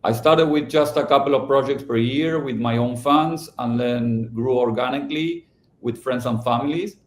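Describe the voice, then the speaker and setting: A middle-aged man from Argentina, answering podcast interview questions about his company, halting and restarting often. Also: mid-range voice, roomy sound. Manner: halting, with many restarts and fillers. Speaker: company founder and CEO.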